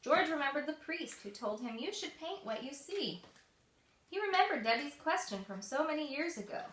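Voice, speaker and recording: A woman reading a picture book aloud to young children, expressive and explaining things simply, like a mother at storytime. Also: high voice, moderate pace. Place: echoey room with small children present. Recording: echoey room, distant mic, faint noise.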